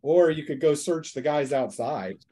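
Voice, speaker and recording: Higher-voiced man running a tabletop game. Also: lively intonation, a little slow, dark tone. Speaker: game master of a tabletop role-playing game. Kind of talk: casual narration and rules talk at a tabletop game.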